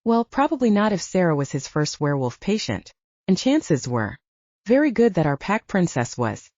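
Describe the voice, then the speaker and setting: Female narrator for fiction audiobook: soft-edged mellow tone, animated melodic delivery, scripted storytelling. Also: lowish voice, normal speed, no echo, muffled recording.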